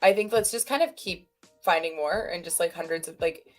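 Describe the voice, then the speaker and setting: A woman's voice: on the low side, a little fast, faint music behind. Chatty, self-mocking woman streamer. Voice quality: chatty, self-deprecating, rambling. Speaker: stream co-host. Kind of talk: casual livestream chat.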